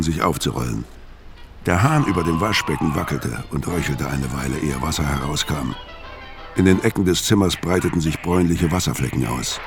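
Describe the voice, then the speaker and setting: An actor playing a gruff, laconic, sardonic killer on the run, hard-boiled and world-weary, in a radio crime drama. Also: male voice, low voice. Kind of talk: first-person crime narration and radio drama acting.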